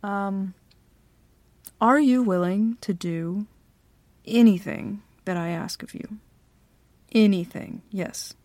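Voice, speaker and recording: A woman playing a bossy female CEO who interviews an applicant in a flirty ASMR roleplay, talking softly with many hesitant fillers and a teasing edge. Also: medium pitch, slow pace, long pauses, no room sound, natural voice.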